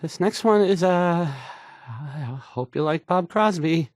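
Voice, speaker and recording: Halting, nervous young radio DJ. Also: male voice, a little high, lively intonation, dry recording. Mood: awkward, hesitant. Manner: halting, stammering, flustered, self-doubting. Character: nervous, awkward, insecure young male radio DJ. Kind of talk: stumbling radio song introductions.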